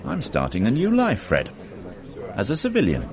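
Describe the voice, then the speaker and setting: A weary deep-voiced inspector. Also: male voice, huge pitch swings, normal speed, over music. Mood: wry. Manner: weary, rueful. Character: weary middle-aged police inspector, self-reproaching. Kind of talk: radio drama acting.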